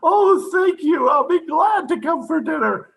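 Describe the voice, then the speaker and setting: Pompous comic psychiatrist played by a man: slow, creaky, theatrical, for radio comedy. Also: very high-pitched, animated, a bit bright, shouting, a little hiss or hum, full character voice. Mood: eagerly loud. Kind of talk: old-time radio comedy acting.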